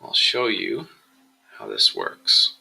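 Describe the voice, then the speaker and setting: A man presenting a software tutorial, explaining each step in a measured, instructional screencast style. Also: low-pitched, huge pitch swings, gravelly, slightly rough, poor audio.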